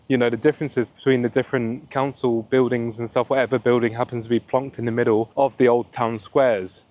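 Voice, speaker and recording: A young man with a deep voice, chatting casually in a rambling way as he vlogs a walk outdoors through a town. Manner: relaxed, rambling, many fillers. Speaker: travel vlogger. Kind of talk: casual walking vlog. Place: outdoors in a town square and park.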